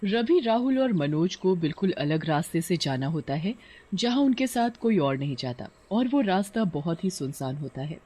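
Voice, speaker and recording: A man's voice: high voice, big pitch swings, normal speed, darkish tone. A man narrating a scripted horror cartoon story as storyteller.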